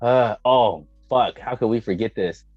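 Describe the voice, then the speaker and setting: An American man co-hosting a casual chat, slangy and profane, breaking into exclamations and halting as he gathers his opinions. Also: medium pitch. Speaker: podcast co-host. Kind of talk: casual banter about movies and anime. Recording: roomy, dull microphone sound with music behind.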